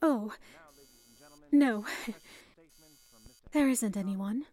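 An actress playing an earnest, dutiful and caring young telephone operator in a 1930s-style radio play. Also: female voice, fairly high pitch, extremely slow, dark tone. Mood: shy.

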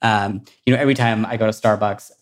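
A man being interviewed casually, talking in a halting, reflective way. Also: lowish voice, fairly even intonation.

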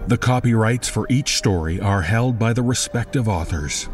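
A man reading a scripted horror podcast outro as host, with a measured, theatrical and ominous delivery. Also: lowish voice. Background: music.

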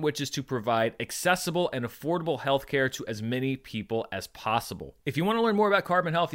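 A man's voice: mid-range voice, fairly bright tone. A man hosting a show, giving enthusiastic recap commentary straight to his audience. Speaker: show host. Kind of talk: upbeat box office recap commentary.

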